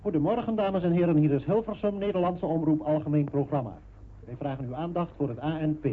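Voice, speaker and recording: A man's voice: fairly high pitch, quick pace, close to an everyday voice. A man playing a formal station announcer on 1940s radio. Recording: noisy, narrow-band old radio broadcast.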